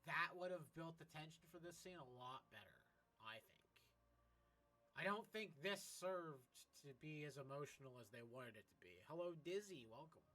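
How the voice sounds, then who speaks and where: A man's voice: on the high side, animated, moderate pace, pushed and bright, rough recording. A man chatting casually as he livestreams a game, commenting and addressing his viewers. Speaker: livestreamer, gamer.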